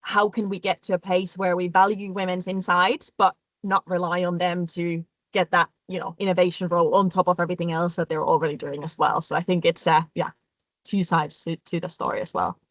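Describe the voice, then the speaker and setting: A woman panelist giving measured, reflective answers in a thoughtful webinar discussion. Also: fairly low pitch, little pitch movement, no echo.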